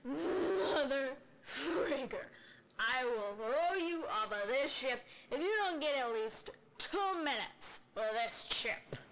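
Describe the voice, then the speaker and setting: A woman's voice: sits high, expressive, takes it slowly, vocal fry, full character voice. A woman playing a bossy, blustering spaceship captain in an audio drama, heard in a noisy recorded clip. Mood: threatening. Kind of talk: scripted audio drama acting. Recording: noisy replayed clip with clicks.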